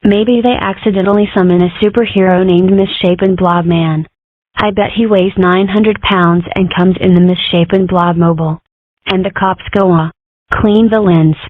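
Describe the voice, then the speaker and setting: A woman's voice: medium pitch, steady tone, normal speed, no room sound, natural voice. A woman voicing a brash, self-important little cartoon girl who rambles and makes grand declarations, in a comic strip dub. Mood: whimsical, opinionated. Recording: muffled, phone-like narrow audio.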